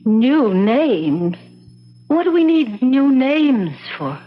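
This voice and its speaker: An actress playing a bewildered, alarmed wife demanding answers in an old-time radio drama. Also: female voice, high voice, very animated, very slow, soft background music, full character voice. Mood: confused alarm. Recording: muffled old radio recording.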